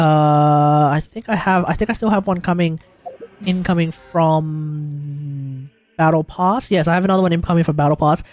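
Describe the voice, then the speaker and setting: An Australian man doing casual livestream commentary, blunt and slangy, rambling as he thinks out loud with emphatic turns. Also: a little high, dry recording. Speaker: livestreamer, gaming content creator. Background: music in the background.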